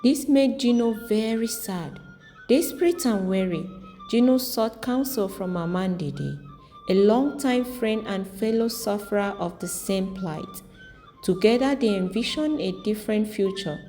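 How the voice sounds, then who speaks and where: A woman's voice: medium pitch, expressive, a little slow, warm tone. A woman narrating a scripted folktale as a storyteller. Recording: long reverb, music underneath. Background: music.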